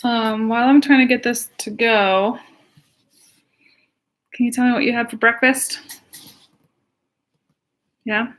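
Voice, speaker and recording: A woman's voice: on the high side, steady tone, slow, pauses often. An American woman, a pastor leading a casual livestream storytime, chatting to children and asking them simple questions. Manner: chatty, asks young listeners simple questions.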